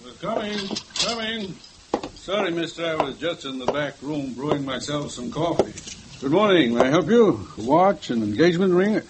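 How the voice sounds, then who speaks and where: A man's voice: a little high, animated, normal speed, lightly acted. Guy doing a polite stammery old shopkeeper, old radio play, bit of hiss. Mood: courteous, fussy. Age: older. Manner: hesitant, courteous, stammering. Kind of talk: 1950s radio drama acting.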